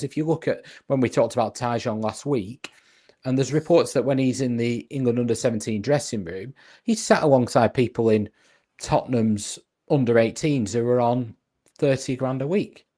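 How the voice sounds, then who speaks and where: A man co-hosting a casual football podcast, reasoning his points out loud with frequent restarts. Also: medium pitch. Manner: earnest, reasoning aloud with restarts.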